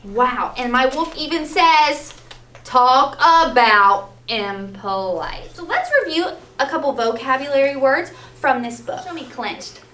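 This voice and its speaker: A woman with a high, bright voice leads a read-aloud lesson for young children. She is animated, checking in often with questions. The recording is roomy, picked up from a distance. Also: moderate pace, hardly any pauses. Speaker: elementary school teacher. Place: school classroom, children seated on the floor. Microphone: distant camera. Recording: roomy, distant, faint classroom noise.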